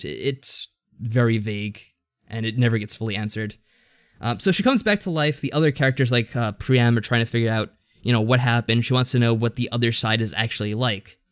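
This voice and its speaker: A man's voice: mid-range voice, no room sound, clean studio sound. Man giving a rambling, unsure recap. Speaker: podcast co-host. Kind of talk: casual chat recapping a visual novel story.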